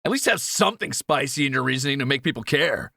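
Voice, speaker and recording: A man's voice: mid-range voice, expressive, fast talker, creaky, bright, forceful tone, slightly rough, dry recording, clean studio sound, exaggerated voice. A man playing a greedy, cheeky young thief in a fantasy audio roleplay, teasing and flippant. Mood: playful mocking.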